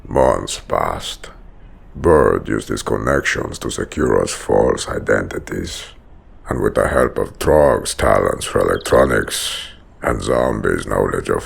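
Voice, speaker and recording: A man playing a huge, menacing, obsessed prison-bred villain in a comic dub, narrating with slow, grandiose deliberation in a rumbling, gravelly voice. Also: full character voice. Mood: ominous, determined. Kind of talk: dramatic first-person comic dub narration.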